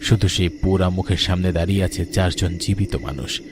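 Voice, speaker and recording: Low-voiced male ghost story narrator. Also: brisk, background noise.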